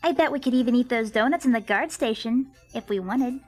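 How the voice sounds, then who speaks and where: A woman's voice: fairly high pitch, brisk, darkish tone, close to an everyday voice. A woman voicing a perky, curious teenage girl sidekick in an amateur game fandub. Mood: playful. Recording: music faintly behind the voice.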